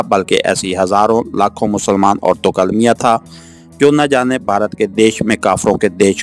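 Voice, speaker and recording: A man reading a novel aloud as an audiobook narrator, in an earnest, expressive storytelling style. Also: fairly low pitch. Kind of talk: dramatic scripted novel narration. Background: background music.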